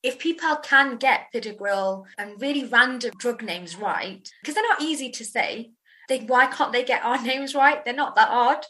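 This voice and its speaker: A woman from England hosting a podcast and telling frank, lively stories. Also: a little high, moderate pace, rough recording. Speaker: podcast host. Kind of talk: podcast conversation.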